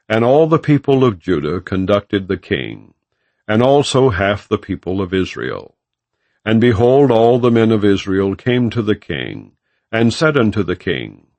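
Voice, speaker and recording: Male scripture narrator, lowish voice, measured and solemn yet widely inflected, recorded dry. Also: no echo. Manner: measured, formal delivery of archaic English. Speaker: audiobook reader of scripture. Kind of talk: solemn scripted narration, non-dramatized Bible reading.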